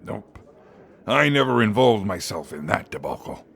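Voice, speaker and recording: A man playing a gruff, disapproving villager in an ASMR roleplay, speaking in a blunt, grumbling manner. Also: fairly low pitch, sing-song, moderate pace, fairly bright tone, full character voice. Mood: disgusted, dismissive. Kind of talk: ASMR roleplay character acting.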